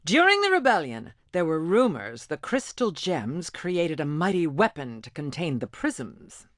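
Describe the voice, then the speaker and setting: A woman voicing a self-important, melodramatic gem informant in an animated game, pompous and showy. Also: low-pitched, a little slow, no room sound, studio quality.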